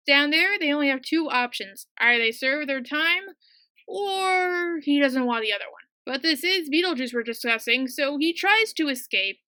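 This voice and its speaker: Young woman doing a chatty cartoon review, high bright voice, dry close sound. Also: no echo. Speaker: video reviewer. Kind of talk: casual scripted review narration.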